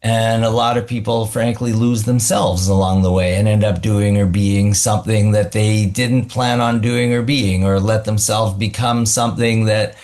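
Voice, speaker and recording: A man's voice: fairly low pitch, steady tone, normal speed, hardly any pauses, darkish tone. A man hosting a podcast interview, talking reflectively and searching for his words. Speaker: podcast host. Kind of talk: casual interview conversation.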